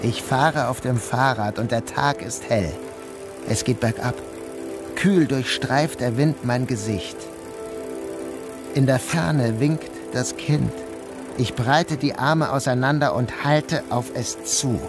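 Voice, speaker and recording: A man's voice: medium pitch, slow, music in the background. A man acting a troubled, bewildered son who narrates in a literary first-person radio drama. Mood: dreamlike, brooding. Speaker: narrator and protagonist.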